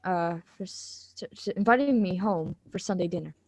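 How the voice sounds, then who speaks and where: A woman's voice: lowish voice, normal speed, darkish tone, no echo. A woman playing a polite, evasive, bookish schoolgirl in a live radio drama reading, with a hesitant, stammering delivery.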